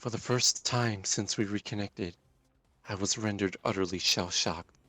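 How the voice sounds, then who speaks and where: A man with a fairly low voice reads a dramatic first-person story. His narration is deliberate and intense, carrying plenty of emotion. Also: a bit bright, dry recording.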